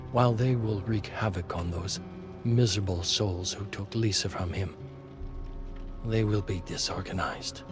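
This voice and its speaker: A man reading dramatic scripted story narration in a measured, solemn way. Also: lowish voice. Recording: music behind the voice. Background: music.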